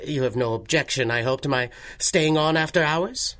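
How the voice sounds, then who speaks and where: An actor playing a genteel, absent-minded older museum scholar in a radio drama, speaking formally and hesitantly. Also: male voice, on the high side, normal speed, a bit bright, natural voice. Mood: genial, absorbed.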